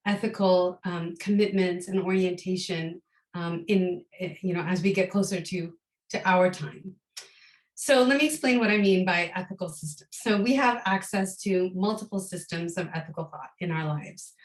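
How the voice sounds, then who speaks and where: Hoarse woman lecturing with many ums. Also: mid-range voice, echoey room, low-quality recording. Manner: thoughtful, with frequent ums and restarts. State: hoarse, recovering from a cold. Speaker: guest lecturer. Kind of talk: academic lecture.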